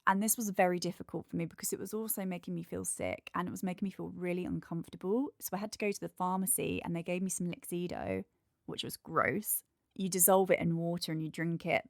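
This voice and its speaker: A woman's voice: on the low side, gravelly, no room sound, clean studio sound. A congested woman with a nasal voice, hosting a candid, chatty solo podcast and working through a numbered list. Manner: candid, chatty, lists points one by one. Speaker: podcast host. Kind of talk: casual solo podcast monologue.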